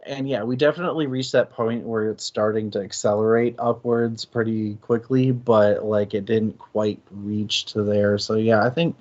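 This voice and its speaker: Male co-host, low register, conversational and halting, self-correcting, slightly muffled lo-fi sound. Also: flat delivery, darkish tone. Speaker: co-host of a book discussion stream. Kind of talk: casual discussion.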